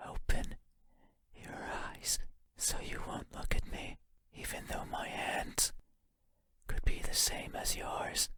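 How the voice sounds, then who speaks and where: A man voicing a lonely, yearning monster for a horror game, in a breathy whisper with a halting, intimate manner. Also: close to an everyday voice. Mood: eerie musing. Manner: halting, intimate, musing, formal phrasing. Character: lonely wordless monster lurking in the dark, yearning, eerie. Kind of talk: video game horror monologue.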